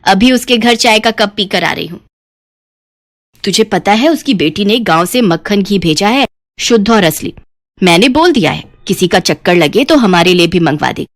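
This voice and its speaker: A greedy older village mother-in-law from a moral cartoon story , played by a woman. She is pushy and scheming, and she grumbles and wheedles with a smug air at a middling female pitch. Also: expressive, moderate pace, natural voice. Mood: grumbling.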